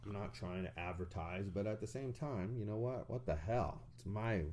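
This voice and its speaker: A man co-hosting a podcast, telling a story in a blunt, animated way with crude jokes. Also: on the low side, moderate pace, slight background noise. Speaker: podcast co-host. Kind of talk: casual chat.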